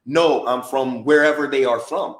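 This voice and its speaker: An emphatic American man talking through a casual podcast, pressing his points and circling back to them. His voice sits at a middle pitch. The recording has a noticeably roomy echo. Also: moderate pace. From United States. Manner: emphatic, conversational, repeats for emphasis. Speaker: podcast co-host and DJ. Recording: roomy echoey podcast audio.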